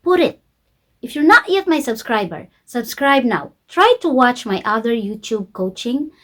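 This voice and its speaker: A woman from the Philippines with a Filipino accent, coaching viewers informally in simple, deliberate second-language English and repeating key points with emphasis. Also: fairly high pitch, very animated, a bit bright. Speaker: YouTube coach.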